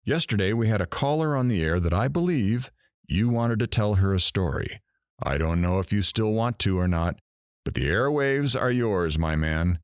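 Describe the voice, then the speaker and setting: A man playing a weary, reflective radio talk show host in an audio drama, speaking in a solemn, measured, theatrical way. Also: on the low side, lively intonation, clean tone, dry recording, clean studio sound, lightly acted.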